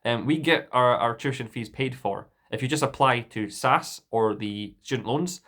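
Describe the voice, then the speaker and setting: A man's voice: medium pitch, normal speed, a bit bright. A young man from Scotland with a mild Dundee accent, talking straight to camera in an indignant, defensive monologue full of restarts. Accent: Dundee Scottish, mild. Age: young adult. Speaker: vlogger.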